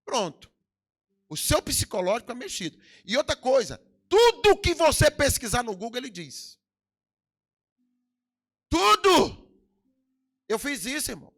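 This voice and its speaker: Lively preaching Brazilian pastor, gravelly swells. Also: male voice, very high-pitched, a little slow, pauses often. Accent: Brazilian Portuguese. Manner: preaching with emphatic swells and sudden drops. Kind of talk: sermon. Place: church hall.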